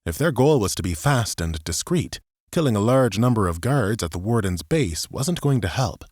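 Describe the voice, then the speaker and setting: A man's voice: on the low side, expressive, normal speed, darkish tone, no room sound, clean studio sound. A man reading scripted dramatic fiction as an audiobook narrator.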